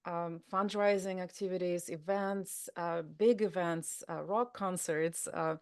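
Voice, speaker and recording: A woman's voice: fairly low pitch, steady tone, unhurried, clear, resonant tone. A woman with a Russian accent, a native Russian speaker, talking casually as an interview guest, full of fillers and restarts. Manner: chatty, many fillers and restarts. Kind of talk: conversational interview.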